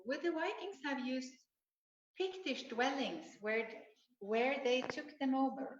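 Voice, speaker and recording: A higher-voiced woman hosts a live stream and reads out viewer questions in an upbeat manner. Her voice sits in an echoey room. The audio is muffled, with faint background noise. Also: lively intonation, a little slow. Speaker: host reading viewer questions.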